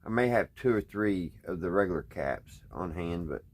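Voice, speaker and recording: Guy, folksy, just thinking out loud while he tinkers at the bench. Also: deep voice, lively intonation, moderate pace. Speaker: hobbyist radio repairer, video host. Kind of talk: casual unscripted hands-on repair vlog. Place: indoors at a workbench.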